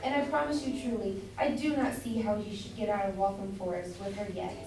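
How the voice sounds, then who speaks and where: A woman's voice: mid-range voice, fairly even intonation, brisk, music in the background, close to an everyday voice. A woman playing a meddling, chatty citizen's wife who comments on a play, in an amateur staged reading. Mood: insistent. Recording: roomy, distant mic, faint noise.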